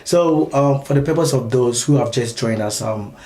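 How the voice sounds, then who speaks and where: A man's voice: mid-range voice, moderate pace, mellow, roomy sound. A man hosting a phone-in talk show, speaking in a friendly way with frequent ums.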